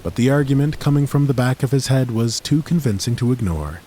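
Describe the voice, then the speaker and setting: A man narrating a fantasy audiobook in a measured, steady storytelling manner on a close studio mic. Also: mid-range voice, a little hiss or hum.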